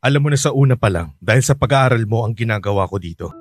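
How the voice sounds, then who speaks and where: A man's voice: fairly low pitch, lively intonation, quick pace, no echo, soft background music. Man acting as a strict worried dad abroad, scolding, stern, dry close sound. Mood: pleading. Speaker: father on a long-distance call. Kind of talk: radio drama acting.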